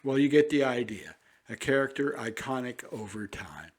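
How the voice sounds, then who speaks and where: A man's voice: medium pitch, lively intonation, moderate pace, husky. A man presenting a radio programme with scripted announcements.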